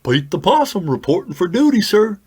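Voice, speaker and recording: A man's voice: high-pitched, very animated, fairly quick, darkish tone, slightly rough, heavily acted. A man voicing an eager, bumbling possum deputy who reports dutifully in a children's audio drama.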